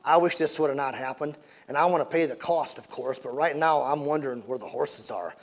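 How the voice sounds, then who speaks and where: A man voicing a soft-spoken farmer father who answers calmly and apologetically. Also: medium pitch, brisk, lightly acted. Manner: quiet, apologetic, calm.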